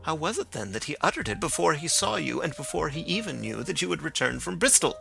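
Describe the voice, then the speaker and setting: A man's voice: a little high, sing-song, quick pace, gravelly, pushed and bright, soft background music, pitched above the performer's own voice, exaggerated voice. A man reading the part of a stern male coroner, asking formal, probing questions.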